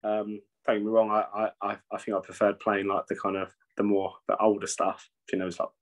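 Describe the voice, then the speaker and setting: Man, thirties, low pitch, rambling casual talk, swears a lot, webcam sound. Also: flat delivery, brisk. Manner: rambling, swears freely, many restarts. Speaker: metal band singer and bassist, guest. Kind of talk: casual interview over video call. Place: home room with shelves. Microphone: video call webcam.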